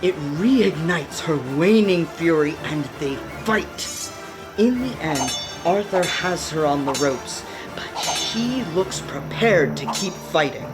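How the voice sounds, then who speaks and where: A woman giving dramatic, deliberate scripted narration for a radio play. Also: fairly low pitch, expressive, music in the background.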